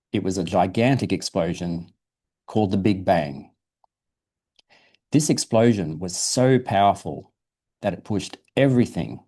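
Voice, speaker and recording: A man with a fairly low, very mellow voice reads a science storybook to children. He explains things simply, in the manner of a museum presenter at storytime. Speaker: guest presenter from a dinosaur museum. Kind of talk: reading a children's science book aloud.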